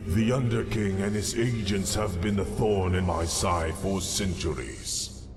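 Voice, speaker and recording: An actor playing a cold, scheming man of mystery in a video game, speaking in a measured, formal and deliberate way. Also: deep voice, background noise. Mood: grave, confiding. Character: cold, scheming male mystery figure granting dark errands. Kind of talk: scripted video game dialogue. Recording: game music behind the voice. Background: music.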